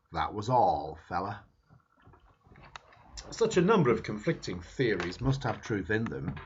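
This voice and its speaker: Man, husky voice, slow deliberate reading, very lively pitch, dull lo-fi sound. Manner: slow, emphatic dramatic reading with wide swings in pitch. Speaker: reader. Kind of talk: reading a book foreword aloud. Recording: dull lo-fi recording.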